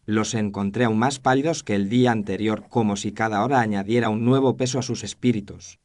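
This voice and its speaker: Low-voiced man narrating a detective story. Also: steady tone, a little mellow. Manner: literary, measured. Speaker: first-person narrator.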